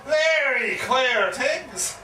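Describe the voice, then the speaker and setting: An actor playing an excitable Irish pub yarn-spinner, theatrically repeating himself with relish in a live comic stage monologue. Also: male voice, very high-pitched, extremely slow, heavily acted. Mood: rambling, confiding. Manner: theatrical, repeats phrases with relish and dramatic emphasis. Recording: echoey live pub recording, distant mic, faint noise.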